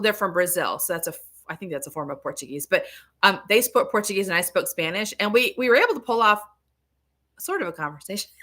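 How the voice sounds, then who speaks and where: A woman's voice: medium pitch, sharp tone. A woman hosting a casual livestream as a tarot reader, chatting to viewers in a rambling, self-interrupting way.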